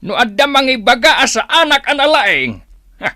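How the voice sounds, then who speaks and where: Casting: male actor as domineering Filipino village braggart, very high bright voice, radio comedy. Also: sing-song, normal speed, dry recording, full character voice. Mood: bullying. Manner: boastful, domineering. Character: boastful, bullying village man fishing for praise.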